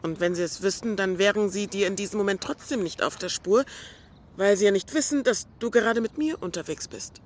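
A woman's voice: medium pitch, brisk, natural voice. An actress playing a caring, frank young woman in a radio drama, coaxing and matter-of-fact with her friend. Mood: frank, reassuring.

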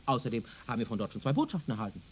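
An actor playing a pompous, self-assured, condescending male professor in a radio play, lecturing emphatically in a husky voice. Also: mid-range voice, big pitch swings, rapid-fire. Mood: confident. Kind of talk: German radio drama acting.

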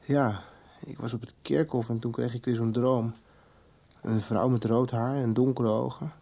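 A man's voice: mid-range voice, fairly even intonation, normal speed, creaky, dark tone. An actor playing Joris, a troubled young man haunted by dreams, talking bluntly in a 1990s radio drama. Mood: tense. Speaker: lead character in a radio soap. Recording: music bed under the dialogue.

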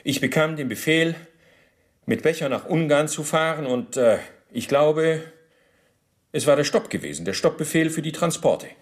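An actor playing a bureaucratic, self-justifying Nazi war criminal under interrogation in a radio play, speaking formally and officiously. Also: male voice, on the high side, expressive, moderate pace, natural voice. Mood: defensive, insistent. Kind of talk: German radio docudrama interrogation acting.

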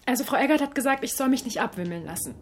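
A woman's voice: sits high, expressive, racing, slightly rough. A young woman playing a hesitant but dogged office intern in a radio drama, tentative and earnest. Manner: tentative, earnest, filler-laden. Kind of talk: radio drama dialogue and narration.

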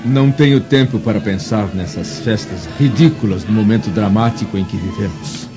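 An actor playing a stern, proud Roman senator in a radio drama, speaking in a formal, commanding, scornful manner. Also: male voice, on the low side, moderate pace, natural voice. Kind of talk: theatrical radio drama acting. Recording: dull radio drama, music and crowd behind.

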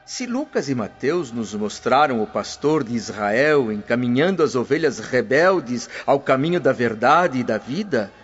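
An actor playing a humble, gentle freed preacher in a radio drama, speaking in unhurried, earnest sermons. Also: male voice, mid-range voice, slightly rough. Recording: dull lo-fi audio with faint music.

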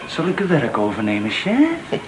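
A man's voice: mid-range voice, wildly swooping pitch, normal speed, over music. A man playing a grumbling, mocking office clerk with a ladykiller's reputation in a radio play. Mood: sneering. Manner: sardonic, complaining. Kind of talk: Dutch radio play acting. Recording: noisy old radio recording with clicks.

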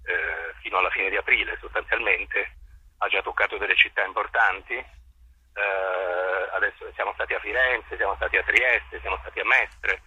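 A man giving reflective interview answers as a theatre director, articulate and formal, choosing words deliberately. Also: low voice, pushed and bright, slightly rough, no echo, highs cut off.

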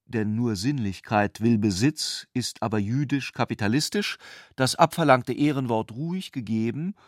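Dry, mid-pitched male literary narrator. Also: normal speed, dry recording. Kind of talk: literary radio drama narration.

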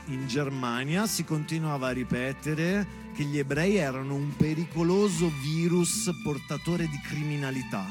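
A man delivering a storytelling theatre monologue as if to his daughter, with conversational asides and dramatic emphasis. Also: a little high. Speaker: stage actor and monologuist.

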